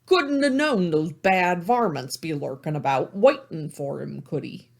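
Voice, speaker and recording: Male narrator as a blunt, prying animal skipper; high, breathy and bouncy. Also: animated, measured pace, heavily acted. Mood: needling. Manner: folksy, pointed questioning.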